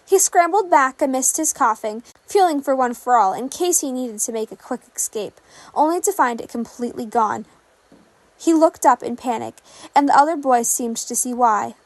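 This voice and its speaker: High-pitched woman narrating a story. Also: lively intonation, moderate pace, dry recording. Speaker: fan fiction reader.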